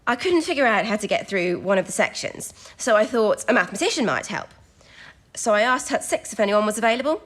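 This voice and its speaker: Actress as earnest, higher-voiced young codebreaker. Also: female voice, expressive, a little fast, fairly bright tone. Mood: modest, thoughtful. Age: young adult. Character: young female wartime codebreaker, earnest, modest, a little anxious. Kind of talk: audio drama acting.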